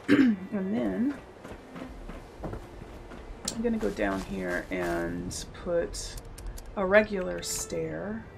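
Slightly hoarse woman with a gravelly edge. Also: mid-range voice, background noise. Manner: friendly step-by-step explaining, occasional throat clearing. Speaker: game channel host. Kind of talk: casual tutorial narration over gameplay.

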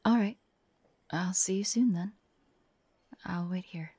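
A woman playing an anxious, forlorn young female hunter in an intimate audio roleplay, soft and breathy, often dropping to whispers. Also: on the low side, takes it slowly, no echo.

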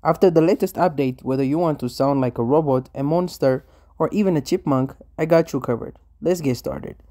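A man's voice: a little high, brisk, mellow. A man hosting a tutorial, reading scripted step-by-step narration as a voice-over. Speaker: tutorial host. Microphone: voice-over, recorded separately from the screen capture.

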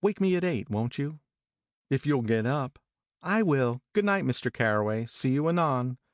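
A man voicing a cool, self-assured young woman with a crisp, lightly amused delivery in an audiobook reading. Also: medium pitch, expressive, normal speed, dry recording, pitched above the performer's own voice, natural voice. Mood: breezy.

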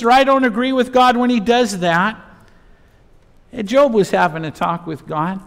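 A man in his fifties, a pastor preaching and reading scripture in emphatic short phrases in a church. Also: high-pitched. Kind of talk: expository sermon with Bible reading. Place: church sanctuary, at a pulpit. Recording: reverberant church hall sound.

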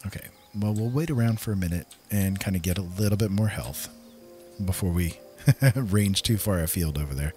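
A man with a low voice talks through a video game in a casual, easygoing way, thinking out loud. Game music plays clearly behind him. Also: normal speed. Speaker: gaming video host. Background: game soundtrack music.

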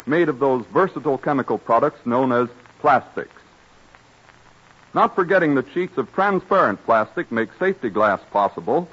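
A man's voice: mid-range voice, fairly bright tone, slightly rough, dull audio. A man reading a scripted sponsor message as a 1930s radio announcer, formal and polished, on a vintage recording.

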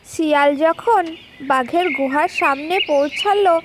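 A woman's voice: sits high, measured pace. A woman storyteller reading a scripted children's folk tale as narrator.

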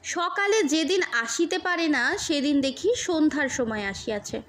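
A woman reading a literary short story as an audiobook narrator, in measured, deliberate phrases with pauses between them. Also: high voice, lively intonation, fairly bright tone, a little hiss or hum.

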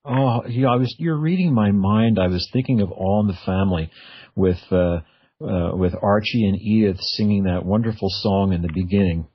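A man's voice: fairly low pitch, normal speed, slightly rough, no echo. A man hosting a radio show, chatting casually and hesitantly as he searches for words. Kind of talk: casual interview chat. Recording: dull, lo-fi, dry radio audio.

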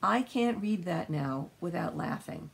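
An older woman around her sixties chatting casually and emphatically, telling stories with a husky, gravelly voice. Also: fairly low pitch, a little slow. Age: older, around 60s. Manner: animated, emphatic storyteller. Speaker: co-host, mother discussing books. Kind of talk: casual rambling chat. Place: small book-lined room indoors.

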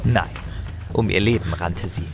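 A man's voice: deep voice, a little slow, noisy background. A man narrating a fantasy audio drama as a grave, intense storyteller, with music and effects behind him. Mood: grave, dramatic.

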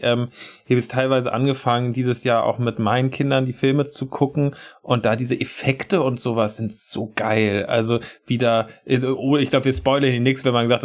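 A man talking casually on a podcast, hesitant and searching for his words. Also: medium pitch. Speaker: podcast host.

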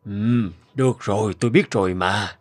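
A man's voice: fairly low pitch, moderate pace, natural voice. A man playing a laid-back elderly husband in a cartoon, offhand and drawling.

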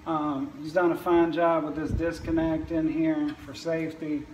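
A man in his forties with a fairly high, even voice explains equipment in a halting, matter-of-fact way. He is recorded in a reverberant room with faint noise behind him. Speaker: wind power equipment installer. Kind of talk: informal product walkthrough. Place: indoors in a utility room or garage, on camera.

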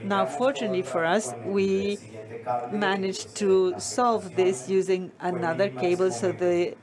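A woman giving a steady, measured simultaneous interpretation of a conference talk. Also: mid-range voice, a crowd in the background. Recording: conference hall with audience noise.

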